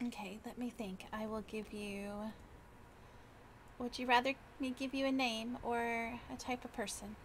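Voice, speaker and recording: A woman with a slightly high voice talks casually through a game roleplay. She takes her time, hesitating and thinking aloud, over faint game ambience and noise. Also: fairly even intonation, measured pace.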